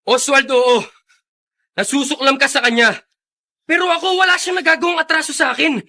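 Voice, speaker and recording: A man's voice: very high voice, moderate pace, bright, forceful tone, heavily acted. A Filipino actor playing a kind-hearted, principled young man in a radio drama, speaking earnestly. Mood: earnest, defensive.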